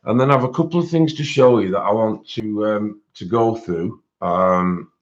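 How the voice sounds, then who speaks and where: A man with a British accent and a croaky voice, hosting a casual livestream and chatting with viewers, rambling with many fillers. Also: fairly low pitch. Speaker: livestream host. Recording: dull lo-fi stream audio.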